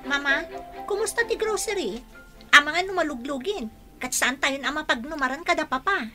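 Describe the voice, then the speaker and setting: A woman's voice: sits high, expressive, moderate pace, over music. A woman playing a spoiled, bratty young woman in an Ilocano radio drama, talking in an imperious, whiny way. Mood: whiny curious. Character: bratty, spoiled young woman ordering her cousin around.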